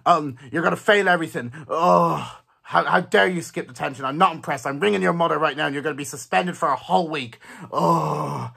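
A man's voice: fairly high pitch, a little fast, gravelly, sharp tone, breathy edge, exaggerated voice. A male performer playing a furious, strict school teacher who scolds in repetitive, stammering bursts. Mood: angry, scolding.

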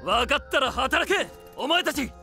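An actor playing an arrogant, commanding young strategist in an anime voice drama. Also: male voice, very high-pitched, animated, a little slow, gravelly, bright, forceful tone, soft background music, full character voice. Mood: exultant commanding. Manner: haughty, commanding. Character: arrogant, ruthless young warlord strategist commanding his squad.

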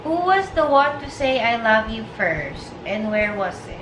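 A woman's voice: a little high, sing-song, unhurried. A young woman in casual, playful chat with her husband on a living room couch, reading out tag questions and laughing often. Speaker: vlogger asking tag questions. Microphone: far camera. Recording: roomy, distant, with noise.

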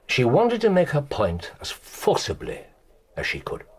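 An actor playing an incisive, commanding British detective in a radio drama, with precise, theatrical delivery and dramatic pauses. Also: male voice, mid-range voice, wildly swooping pitch, breathy edge, exaggerated voice. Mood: pointed. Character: incisive, commanding male detective laying out a case. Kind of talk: BBC radio drama acting.

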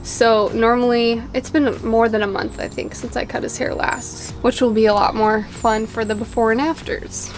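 A woman's voice: a little high, steady tone, moderate pace, few pauses, music in the background. A young woman outdoors on a deck, chatting casually as she explains how she cuts hair at home, laughing at herself now and then. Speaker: vlogger cutting her husband's hair.

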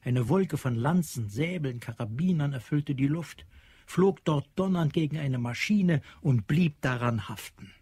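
A man's voice: on the high side, animated, a little slow, mellow, slightly rough, no echo. A man narrating a radio drama in a dramatic, literary, scripted style.

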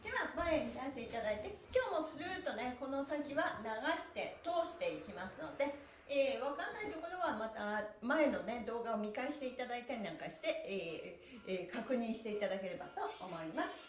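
A woman's voice: a little high, lively intonation, brisk, slight background noise. A middle-aged woman hosting a stretching class, giving cheerful, exclamatory and polite instruction with playful wording to a livestream audience. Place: small bare indoor room with exercise mat. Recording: echoey small room.

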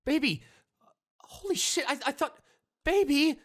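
A man playing a devoted, anxious young boyfriend in an intimate audio roleplay, speaking tenderly and haltingly with repeated reassurances. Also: extremely high pitch, lively intonation, fairly bright tone, full character voice. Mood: panicked. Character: devoted, anxious young boyfriend comforting his hurt girlfriend. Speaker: voice actor.

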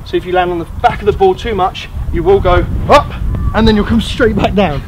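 A man's voice: high voice, fairly quick. A man in his thirties giving an encouraging, emphatic how-to tutorial as a coach. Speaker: host and acrobatics coach. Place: grassy field outdoors. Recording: outdoor noise, traffic ambience.

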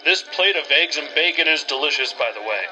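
An actor playing a fussy, weary city gentleman in a radio drama, polite and formal in manner. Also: a little high, lively intonation, brisk, over music, natural voice, poor audio. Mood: polite puzzlement. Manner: polite, formal, put-upon. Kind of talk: old-time radio drama acting.

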